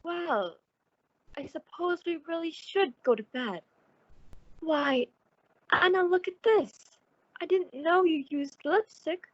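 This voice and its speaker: A high, very lively voice from an actress plays a naive, nervous woman in radio suspense. She is placating and exclamatory, swinging widely in pitch. The sound is a little dull and lo-fi. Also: slow pace, pauses often, no room sound, full character voice. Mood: placating, uneasy. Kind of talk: old-time radio suspense acting.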